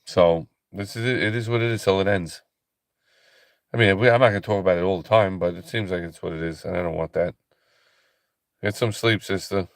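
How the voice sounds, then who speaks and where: Tired, deep-voiced man, slow and calm. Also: dry recording, studio quality. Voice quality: calm relaxing voice. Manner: slow, halting, soft-spoken, many fillers and restarts. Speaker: ministry livestream host. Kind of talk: casual late-night livestream chat with viewers.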